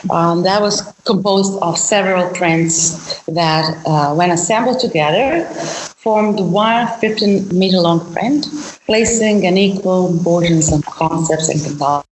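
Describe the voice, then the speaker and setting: Low-voiced Croatian woman, echoey room. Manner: measured, thoughtful, with frequent ums. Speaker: guest artist. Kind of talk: interview answers. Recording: echoey room, faint noise.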